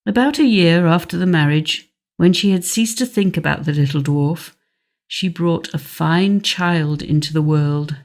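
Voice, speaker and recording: A woman's voice: on the low side, measured pace, a little mellow. A woman reading scripted narration as an audiobook narrator.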